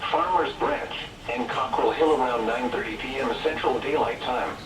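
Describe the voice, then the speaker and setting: A synthetic male text-to-speech voice reading scripted emergency weather warnings in a measured, impersonal, item-by-item way over weather radio. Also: mid-range voice, very noisy. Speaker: automated weather radio alert reader.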